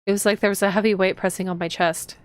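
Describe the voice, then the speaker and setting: A woman's voice: mid-range voice, fairly even intonation, fast, clean tone, no room sound, lightly acted. A woman voicing a shaken young noblewoman who tells her story in a dramatic first-person visual novel reading. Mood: anxious.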